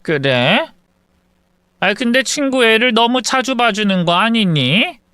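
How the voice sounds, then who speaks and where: A man voicing an older woman, a soft-spoken, doting mother-in-law. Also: high-pitched, very animated, unhurried, clear, resonant tone, no room sound, clean studio sound, voice pushed up, heavily acted.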